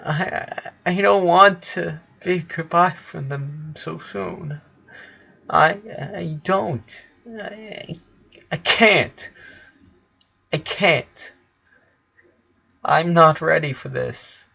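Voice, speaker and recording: A man voicing a tearful, dying eleven-year-old boy in a storybook reading, halting and pleading, on a dull recording. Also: a little high, gravelly, pushed and bright, slightly rough, voice pushed up, exaggerated voice. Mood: desperate pleading.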